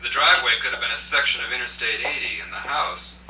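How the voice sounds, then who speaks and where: A man's voice: medium pitch, animated, fast talker, pushed and bright. An actor playing a broke freelance journalist, telling his tale dryly in hard-boiled radio drama narration, recorded at a rehearsal. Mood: wry, intrigued. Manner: dry, wry storytelling. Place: echoey room at a dress rehearsal. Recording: echoey, distant, noisy old video rehearsal recording.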